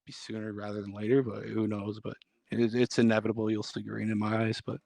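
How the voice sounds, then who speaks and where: Quick talking guy hyping up the chat, dry close mic. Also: fairly low pitch, monotone, vocal fry, a little mellow, dry recording. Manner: upbeat, hyped, rambling. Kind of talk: casual group voice chat about trading.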